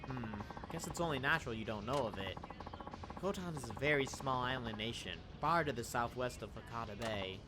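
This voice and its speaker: A man's voice: medium pitch, expressive, a little fast, lightly acted. A man reading aloud the lines of a haughty young woman who claims to be a runaway princess, in formal, stiff phrasing, over game music.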